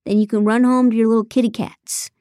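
A woman's voice: mid-range voice, fairly even intonation, normal speed, mellow, clear, resonant tone, dry recording, clean studio sound, lightly acted. Smug, taunting male extortionist voiced by a woman. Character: smug, goading male extortionist, a reality-show actor.

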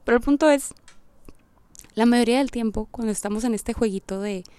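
A woman with a fairly high, soft-edged and mellow voice talks casually as a podcast host. She rambles through her thoughts in slangy, informal speech. The sound is close and dry, with very little room around it. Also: a little fast, clean tone, dry recording. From Puerto Rico. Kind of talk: casual monologue, reflective chat.

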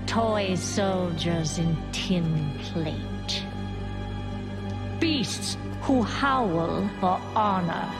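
A woman's voice: lowish voice, drawn-out words. A woman playing a scornful, imperious villainess warlord in a fantasy game cinematic, with theatrical contempt. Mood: cold contempt. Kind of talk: dramatic game cinematic acting. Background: loud cinematic music.

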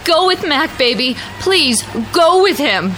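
High-voiced, frantic mother. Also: sing-song, slow, pushed and bright, a little hiss or hum, heavily acted. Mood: urgent coaxing. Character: frantic, distraught mother of a lost little girl. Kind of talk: radio drama acting. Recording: radio drama with music behind.

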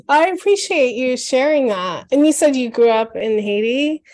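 Encouraging woman interviewer, higher voice. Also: expressive, normal speed, no echo. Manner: encouraging, gentle, affirming. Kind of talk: oral history interview.

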